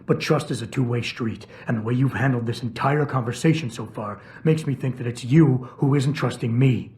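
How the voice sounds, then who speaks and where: An actor playing a brooding, skeptical ex-cop in a video game, terse and deadpan, with a gravelly voice. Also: male voice, medium pitch, normal speed, close to an everyday voice. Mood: challenging. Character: brooding, skeptical male ex-cop turned security officer. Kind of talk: dramatic video game dialogue. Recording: clear background ambient noise.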